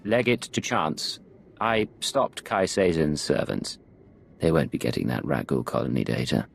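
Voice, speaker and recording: A man voicing a cold, controlled secret agent in a video game, measured and clinical. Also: very deep voice, gravelly, breathy edge, heavily acted. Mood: businesslike.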